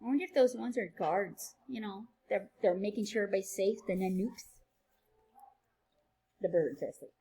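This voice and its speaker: A woman teacher reading a picture book aloud to children, playful and sing-song, with quieter chatty asides. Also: medium pitch, normal speed.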